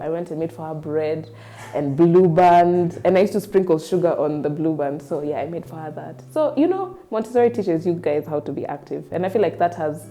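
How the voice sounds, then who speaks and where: Kenyan woman, mellow low voice, casual rambling interview talk over soft background music. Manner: chatty and rambling, with frequent "like" and "um". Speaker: singer-songwriter guest.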